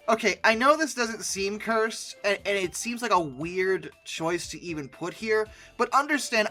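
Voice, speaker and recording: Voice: medium pitch, pushed and bright. A person giving humorous reaction commentary in a sarcastic, exasperated manner. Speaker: host of a commentary video.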